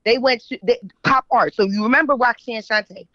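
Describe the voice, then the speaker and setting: A woman's voice: fairly high pitch, normal speed, no echo. A middle-aged woman with a Philadelphia accent mixed with New York, chatting casually and telling stories in slang as an interview guest. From West Philadelphia, United States. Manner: animated slangy storytelling, checks in with "right?". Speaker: radio show guest, writer. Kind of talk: casual interview chat, reminiscing. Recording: dull, muffled audio.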